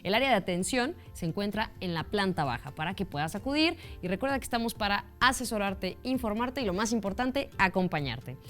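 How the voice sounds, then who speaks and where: A woman's voice: mid-range voice, animated, a little fast, few pauses, a bit bright, faint music behind. A woman hosting a television talk show, asking questions in an informal, colloquial way. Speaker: TV show host. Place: TV studio.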